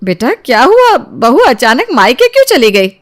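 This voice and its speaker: A woman's voice: high voice, wildly swooping pitch, normal speed, voice pushed up. Woman as a fretful, bewildered mother-in-law. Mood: concerned. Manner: polite, fretful. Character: anxious, bewildered middle-aged mother-in-law.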